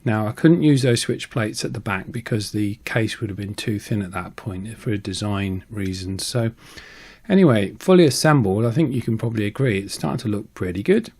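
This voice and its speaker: A man's voice: fairly low pitch, animated, normal speed, darkish tone, husky. A middle-aged man who hosts a channel, casually narrating a hobby prop build. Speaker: host of a prop-making channel.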